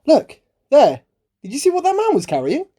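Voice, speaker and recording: A woman playing an eager, cheeky, impatient sidekick in an amateur mystery radio play, bantering and excitable. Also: high voice, normal speed. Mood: excited. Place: recorded alone at home.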